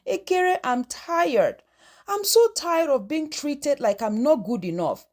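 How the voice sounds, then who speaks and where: A woman acts out a teenage schoolboy in a storybook tale. He is plaintive, self-pitying and dismissive, with a high voice that swings up and down. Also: big pitch swings, takes it slowly, darkish tone, full character voice. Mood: upset, sobbing. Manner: plaintive complaining.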